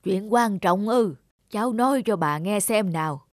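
A woman's voice: medium pitch, measured pace, dry recording, clean studio sound, lightly acted. A woman voicing a stern but loving elderly grandmother in a cartoon. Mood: tender, remorseful. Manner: scolding, then tender. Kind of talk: cartoon voice acting. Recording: music bed under the voice.

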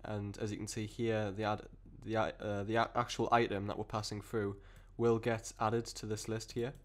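A man with a cold and a croaky voice, explaining code step by step in a rambling way with many fillers and restarts. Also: on the low side, level tone, normal speed. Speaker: programming tutor. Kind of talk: screen-recorded coding tutorial.

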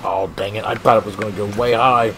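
A man streaming a car soccer game, commentating his own play with excited outbursts and frustration at near misses. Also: on the low side, fast, noisy background. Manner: animated, exclaiming, frustrated at missed shots. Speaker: gaming streamer. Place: home gaming room.

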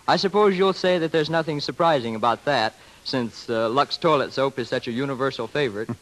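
A man's voice: medium pitch, brisk, fairly bright tone, poor audio. A man speaking as a guest expert in a semi-scripted radio interview from the 1930s, formal, earnest and wordy. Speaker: guest expert on community theater. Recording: dated 1930s radio broadcast recording.

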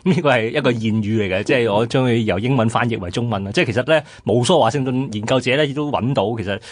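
A Hong Kong man talks quickly as a radio co-host, explaining history conversationally. His voice is husky and the sound is close and dry. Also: medium pitch, no echo.

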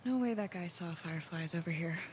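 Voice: medium pitch, fairly quick, a little hiss or hum, natural voice. A performer playing a skeptical young teenage boy survivor in a video game, with a natural, muttering delivery. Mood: skeptical, weary.

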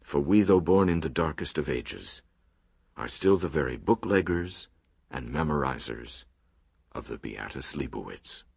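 A man's voice: extremely low pitch, expressive, unhurried, vocal fry, darkish tone, breathy edge, dry recording, studio quality, heavily acted. A man playing a solemn monk who recites his order's sacred history in archaic, oratorical radio drama style. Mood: solemn, resolute.

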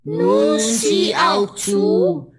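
A woman among voices playing stern fairy-tale farm animals, chanting verse slowly in unison. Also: medium pitch, sing-song, over a noisy crowd. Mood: reproachful. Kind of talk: radio fairy-tale play acting.

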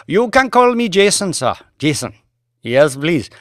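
A man's voice: on the high side, big pitch swings, measured pace, no echo, clean studio sound, pitched above the performer's own voice. An Indian chauffeur in an audiobook, his voice raised and swooping. He speaks with an Indian accent, deferential and insistent, with a proud, callous streak. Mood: deferential, chatty. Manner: deferential, insistent, non-native grammar.

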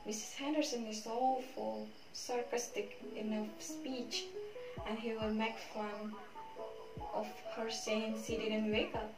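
A woman's voice: fairly high pitch, flat delivery, slow pace. A young woman playing a timid, dutiful sheriff's wife in an amateur stage drama. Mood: uneasy. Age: young adult. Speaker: student actor in a class play. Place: small bare dim room indoors. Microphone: far camera. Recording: echoey distant room recording, music behind.

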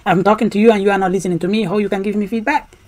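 A man's voice: high-pitched, steady tone, racing, darkish tone. Explanatory young man, Somali native speaker. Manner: explanatory, lecturing, repeats points. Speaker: vlog co-host explaining English skills. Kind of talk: casual outdoor vlog chat. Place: outdoors in a park.

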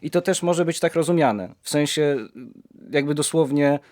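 A man's voice: a little high, normal speed, darkish tone, dry recording. Dry-sounding man chatting reflectively. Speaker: participant in a community audio drama project. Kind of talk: casual reflective discussion.

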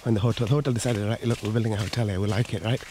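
A man's voice: on the low side, fairly even intonation, racing. A man from Sri Lanka giving casual, chatty walking-tour commentary outdoors into a handheld camera, often repeating himself. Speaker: vlogger. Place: outdoors on resort garden paths. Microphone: handheld camera microphone, speaker behind camera. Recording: outdoor handheld camera, clear background noise.

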